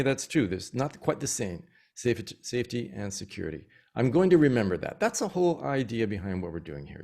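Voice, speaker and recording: A man's voice: mid-range voice, darkish tone. A man teaching an interpreting practice session over a video call, explaining points in an encouraging way. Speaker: interpreting instructor.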